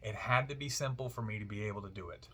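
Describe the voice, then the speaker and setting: Bright upbeat radio co-host man. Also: lowish voice, fast, a little hiss or hum. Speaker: radio show co-host, loan officer. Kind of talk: casual radio chat.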